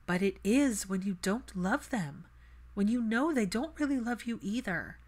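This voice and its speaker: An impassioned young daughter stands her ground as a woman narrator acts her out. Her voice rides higher and more forcefully, emphatic and argumentative, in the manner of a storybook reading. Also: lively intonation, measured pace. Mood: insistent.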